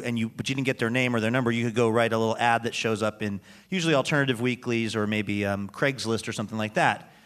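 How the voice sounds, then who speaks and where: A man's voice: mid-range voice, fairly bright tone, clean tone. A man hosting a casual, joking live interview in a wry, conversational way. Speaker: radio show host, interviewer.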